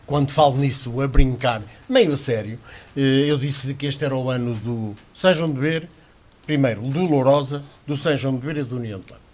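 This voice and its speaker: A man's voice: mid-range voice, brisk, darkish tone. A man with a Portuguese accent, talking on a radio sports panel in a rambling, self-correcting way. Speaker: panel commentator.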